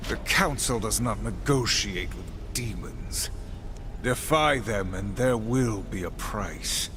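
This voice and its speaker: An actor playing a stern, humorless, dutiful warrior horseman in a video game, speaking gravely and formally. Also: fairly low pitch, expressive, slow, background noise. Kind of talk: video game cutscene acting. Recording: long reverb, clear music behind.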